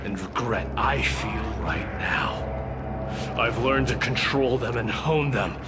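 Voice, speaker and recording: An actor playing a fierce, proud warrior prince in a fantasy game, speaking in a grandiose, defiant way. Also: male voice, mid-range voice, expressive, takes it slowly. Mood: grim resolve. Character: fierce proud male warrior prince vowing to kill a god. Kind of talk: dramatic fantasy game voice acting. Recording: loud game music over voice.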